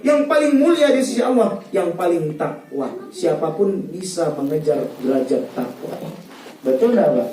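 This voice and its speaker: A man from Medan giving a lively, joking wedding sermon, talking straight to the guests. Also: a little high. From Medan, North Sumatra. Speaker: preacher giving wedding advice. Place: wedding venue hall. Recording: echoey hall, voice from far off.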